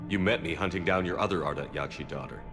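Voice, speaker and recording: A low male voice as a resolute game commander, serious and questioning. Music plays clearly behind the measured dramatic lines. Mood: serious, probing. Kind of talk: video game dramatic acting. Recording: game score playing behind.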